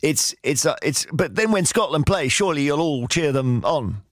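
A man's voice: fairly high pitch, lively intonation, moderate pace, dry recording. A man hosting a radio phone-in, chatting conversationally from a studio. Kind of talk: conversational phone-in chat about football. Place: dry broadcast studio.

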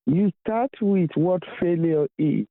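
An earnest male caller speaks slowly and emphatically, sharing life lessons as he goes. His voice sits a little high. It comes through a thin, tinny phone line. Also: lively intonation, gravelly, dry recording. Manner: earnest, philosophical, slow emphatic phrasing. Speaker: radio caller. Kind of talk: phone-in call. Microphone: telephone.

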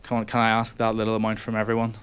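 A young man with a lowish voice tells a stand-up story to a live audience. His asides are dry and self-deprecating. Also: completely flat delivery, very fast, noisy background. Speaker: stand-up comedian. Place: comedy venue with live audience.

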